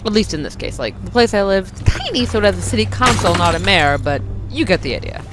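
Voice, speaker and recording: A woman's voice: medium pitch, sing-song, fast talker. A woman hosting a let's play with casual, chatty commentary and joking asides. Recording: game music and sound behind. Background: video game dialogue and music.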